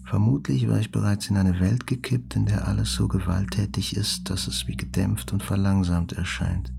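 A gravelly, brooding journalist narrator. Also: male voice, fairly low pitch, fairly even intonation, music in the background. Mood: hushed dread. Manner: deliberate, introspective. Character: reflective, haunted male journalist recounting an attack he survived. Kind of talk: literary audio drama narration.

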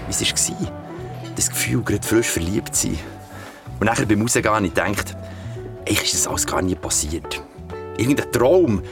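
A man's voice: mid-range voice, vocal fry. An actor playing a young man telling a creepy story by a campfire in an audio drama, with a Swiss German accent and colloquial, confiding delivery. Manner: colloquial, crude slang, confiding.

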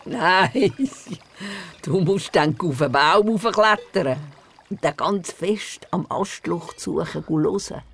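A woman's voice: lowish voice, slow, gravelly, husky, full character voice. A woman playing a fanciful, feisty old grandmother with a Swiss German accent, telling tall tales bluntly in a children's radio play. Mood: confiding.

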